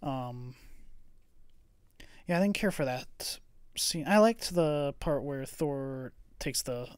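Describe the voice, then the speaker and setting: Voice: mid-range voice, takes it slowly, a little mellow, clean tone, no echo. A podcast co-host in a casual film review chat who often hedges and trails off. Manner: hedging, trails off with "I don't know".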